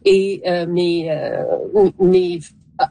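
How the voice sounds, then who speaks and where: A woman's voice: fairly low pitch, very animated, drawn-out words, creaky, mellow, no echo. An older woman, giving hesitant conversational answers as a panel guest.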